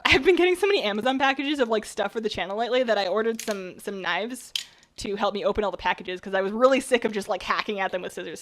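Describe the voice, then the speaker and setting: A young woman from British Columbia streaming from her home kitchen, chatting casually and rambling while she bakes and reads chat, her voice worn from a recent cold. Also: fairly high pitch. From British Columbia, Canada. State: recovering from a cold.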